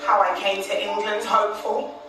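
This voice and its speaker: An actress playing a young Tudor queen telling her story in a stage musical, with a dramatic, deliberate delivery. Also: mid-range voice, level tone, lightly acted. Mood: mock tragic. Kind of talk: musical theatre dialogue. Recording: echoey theatre, distant, music behind.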